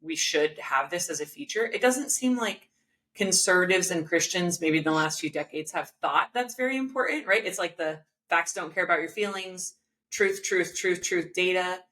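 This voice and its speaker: A woman's voice: lowish voice, moderate pace, a bit bright. A woman in her thirties hosting a podcast over a video call from home, introducing her guest and asking friendly, earnest questions. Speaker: podcast host. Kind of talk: interview hosting. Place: home room, indoors.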